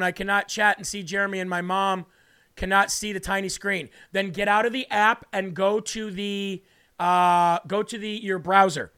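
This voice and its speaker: A man with a raspy voice hosting a faith livestream, preaching and reading viewer comments aloud, often repeating phrases for emphasis. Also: high voice, bright, forceful tone. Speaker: host of a faith livestream show.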